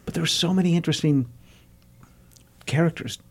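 A man's voice: fairly high pitch, normal speed. A man hosting a radio interview, asking questions in a conversational way.

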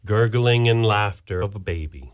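A man's voice: fairly low pitch, moderate pace, music in the background. A man narrating stage directions in a plain, matter-of-fact way. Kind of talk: scripted narration.

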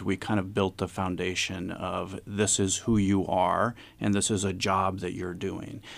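A man's voice: sits low, gravelly, dry recording. A man talking in an interview as a theater director, explaining his approach in an unscripted way.

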